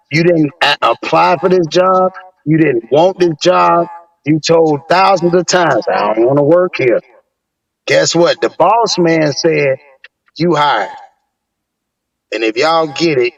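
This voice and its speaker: A man with a raspy voice calling into a casual chat, preaching and repeating his points for emphasis. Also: on the high side. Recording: dull lo-fi call audio.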